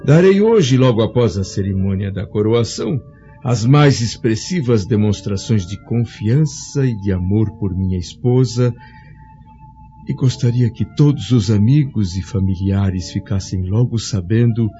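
A man's voice: lowish voice, animated, unhurried, warm tone, lo-fi sound, faint music behind. A man playing a proud, fatherly older Roman senator in a radio drama, solemn and emotional. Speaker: father of Flávia.

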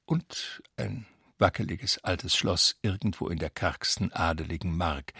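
Male radio drama narrator: very low, gravelly, dry recording, literary text. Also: a bit bright, dry recording.

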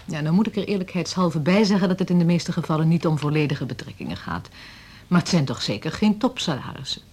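A woman's voice: sits low, animated, fast talker, a little mellow, breathy edge. Wary, dry-witted woman. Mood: defensive. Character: wary, dry-witted female music school representative. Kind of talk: Dutch radio drama dialogue.

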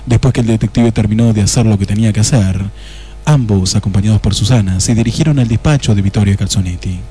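A man's voice: lowish voice, fast, mellow, husky. A man narrating a scripted comic radio drama in a mock-dramatic manner.

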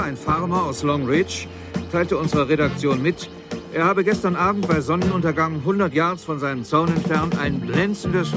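An actor playing a brisk radio newsreader, announcing a formal bulletin within a radio drama. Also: male voice, fairly high pitch, natural voice. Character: brisk male radio newsreader reporting strange sightings. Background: loud music.

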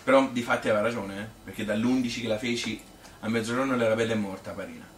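A man playing a chatty old Tuscan grandmother who tells her life story in a colloquial, rambling, halting stage monologue. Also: fairly low pitch, steady tone, close to an everyday voice. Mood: wistful, matter-of-fact. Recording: roomy, noisy, distant stage recording.

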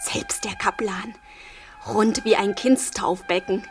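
A woman's voice: mid-range voice, huge pitch swings, takes it slowly, soft background music. An actress playing an impulsive, devoted fifteen-year-old girl in a poetic radio drama, theatrical and fervent. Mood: adoring, hushed. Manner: theatrical, fervent, swinging from hushed to impetuous. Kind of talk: German radio drama acting, poetic verse.

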